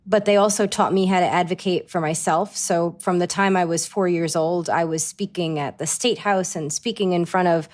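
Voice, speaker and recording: A young woman from Rhode Island, a guest on an interview show. Her voice sits a little low for a woman. She talks earnestly and at length, correcting herself quickly as she goes. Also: steady tone, darkish tone. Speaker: actress and guest. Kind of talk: interview answers.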